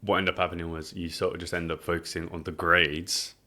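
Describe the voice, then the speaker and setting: A man hosting a casual podcast interview, quick to affirm with "yeah, yeah". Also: sits low, little pitch movement, sharp tone. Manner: easygoing, lots of affirming "yeah"s. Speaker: podcast host.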